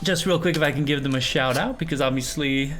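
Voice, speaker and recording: A music reaction co-host talks in a casual, slangy way, swearing and joking along. The voice sits at a medium pitch, with music faintly behind it. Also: fast talker, fairly bright tone.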